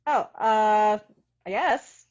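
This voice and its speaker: A woman's voice: medium pitch, very slow, a little mellow. A woman playing a cool, aloof young love interest in a comedy table read, hesitant and understated, on a muffled mic.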